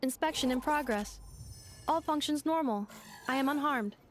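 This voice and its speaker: A woman voicing a calm, logical android helper woman who speaks in clipped, formal, machine-like reports in a video game. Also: high voice, normal speed, background noise, lightly acted. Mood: steady.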